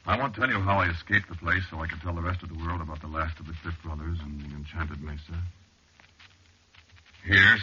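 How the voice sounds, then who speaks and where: A very deep male voice as a weary, haunted man telling a horror tale. He is measured and intimate yet hard-edged, in vintage radio style, on a dull recording with faint music. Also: pushed and bright, slight background noise. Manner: measured, intimate storytelling with pauses. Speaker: narrator and star. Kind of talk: 1940s radio horror first-person narration.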